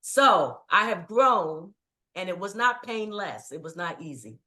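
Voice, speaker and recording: A woman's voice: on the low side, lively intonation, measured pace, bright, forceful tone. A middle-aged woman guest telling a personal story in a reflective, earnest way. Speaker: guest, writing coach. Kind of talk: casual interview chat.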